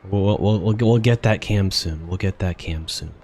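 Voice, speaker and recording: A man's voice: sits low, moderate pace, a little mellow, slight background noise. A man chatting casually and informally as he reacts live to a game he is streaming. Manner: informal, offhand.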